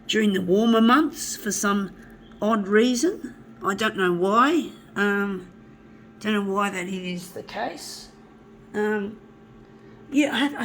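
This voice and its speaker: A woman, hoarse from a flu with a blocked nose, chatting and swearing freely as she works. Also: mid-range voice, slight background noise, low-quality recording. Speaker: artist. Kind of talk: casual talk while working.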